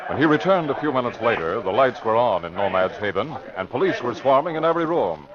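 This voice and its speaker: A man's voice: mid-range voice, sing-song, fast talker, a crowd in the background. A man narrating as announcer of a 1950s radio drama, with emphatic, theatrical delivery. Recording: dull old radio broadcast recording.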